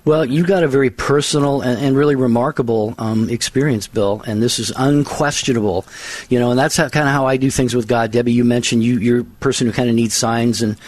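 A man from Washington State hosting a radio call-in show, speaking in a measured, reflective way. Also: mid-range voice, fairly even intonation, darkish tone, dry recording. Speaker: radio host.

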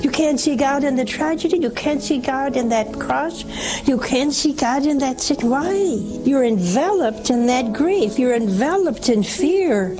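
A woman's voice: high voice, animated, unhurried, over music. A woman preaching as a religious teacher.

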